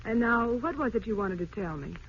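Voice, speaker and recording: An actress playing a polite, earnest child agency woman with measured delivery in a vintage radio drama. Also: on the high side, animated. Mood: earnest, courteous. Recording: muffled old radio broadcast, faint noise.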